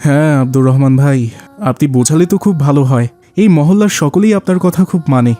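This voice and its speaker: A man's voice: on the high side, animated, quick pace, mellow, soft background music, close to an everyday voice. A man playing a worried, plaintive wealthy father in an Islamic cartoon. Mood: hopeful, wistful. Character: worried, complaining wealthy father of a wayward son.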